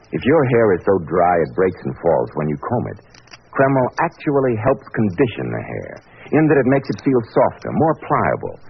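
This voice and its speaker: A man's voice: fairly low pitch, gravelly, husky. A man announcing on 1940s radio with polished, emphatic salesmanship. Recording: dull, noisy old radio recording.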